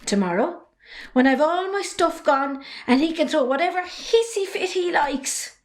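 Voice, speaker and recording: A woman's voice: high-pitched, lively intonation, a little slow. A woman playing a defiant, sardonic young farmer's daughter with an Irish accent in an amateur drama. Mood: frank. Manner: sardonic, outspoken.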